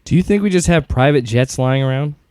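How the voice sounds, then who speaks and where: An actor playing a skeptical, exasperated government agent in an audio drama, questioning everything in an incredulous way. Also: male voice, mid-range voice, expressive, fairly quick, darkish tone, clean tone, no echo, studio quality, natural voice. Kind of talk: scripted audio drama acting.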